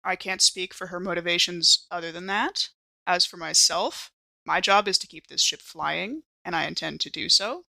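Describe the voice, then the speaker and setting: A woman's voice: lowish voice, normal speed, vocal fry, sharp tone, no room sound, rough recording. A woman role-playing conversationally as a player in a tabletop game, with composed, articulate phrasing.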